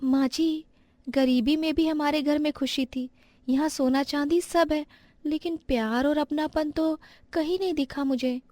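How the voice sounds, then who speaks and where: Earnest, gentle young daughter-in-law, played by a woman, very high pitch, dry recording, storybook drama. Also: fairly even intonation, a little slow, dry recording. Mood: hurt. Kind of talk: dramatized moral story acting.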